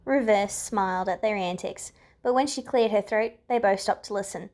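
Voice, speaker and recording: A woman's voice: medium pitch, normal speed, a little mellow. A woman reading scripted fiction aloud as a narrator. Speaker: podfic reader.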